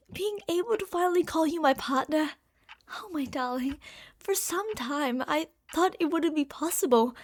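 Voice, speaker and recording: A woman playing an obsessive, delusional genius scientist girlfriend in an ASMR roleplay, doting and gushing, shifting between hushed and excited. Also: sits high. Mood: lovesick, excited. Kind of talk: ASMR roleplay monologue addressed to the listener.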